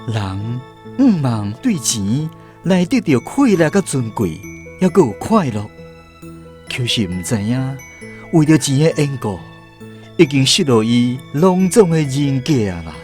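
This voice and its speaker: 苦苦追尋平安的迷惘男子，語速緩慢. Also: male voice, mid-range voice, big pitch swings, a little mellow. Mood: pondering, troubled. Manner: slow, questioning, pondering. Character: earnest, troubled lost man searching for peace. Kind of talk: Taiwanese radio drama acting. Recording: music bed under the voice.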